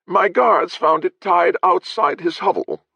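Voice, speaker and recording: A haughty lord drawls with grating contempt, voiced by a man who raises his pitch. His delivery is slow and blasé. The audio is dry and a little dull. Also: vocal fry, bright, forceful tone, slightly rough, no echo, full character voice. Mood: contemptuous.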